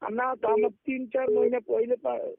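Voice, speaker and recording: Bhojpuri guy on a phone call, high creaky voice, pleading, trying to talk someone round. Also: level tone, unhurried, no echo. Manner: pleading, persuasive, repeatedly seeking agreement. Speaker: music artist with own studio. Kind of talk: informal phone conversation. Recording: muffled recorded phone call.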